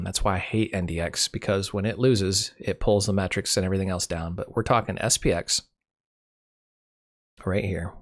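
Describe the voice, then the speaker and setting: A man with a cold and a fading voice, casually walking through a screen share off the cuff, correcting himself as he goes. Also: on the low side. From Michigan. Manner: unscripted, self-correcting, dry humor. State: has a cold, losing his voice. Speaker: channel host.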